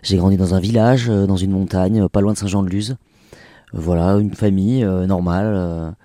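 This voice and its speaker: A man's voice: low-pitched, fairly even intonation, brisk, dark tone, no echo, clean studio sound. A man in his forties from the French Basque Country, a Basque native speaker, talking casually as an interview guest with many hesitations and restarts. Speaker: singer and actor guest. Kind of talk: relaxed interview talk.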